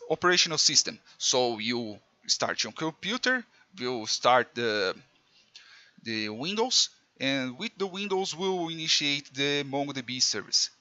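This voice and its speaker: A man from Brazil with a Brazilian Portuguese accent, a native Portuguese speaker teaching programming in halting English with many restarts. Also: fairly high pitch, sharp tone, no room sound, highs cut off. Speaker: programming tutor. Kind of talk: screen-recorded coding tutorial.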